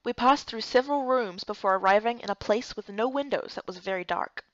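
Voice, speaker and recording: A woman's voice: a little high, brisk, no room sound, muffled recording. A woman reading scripted first-person story narration for a game playthrough.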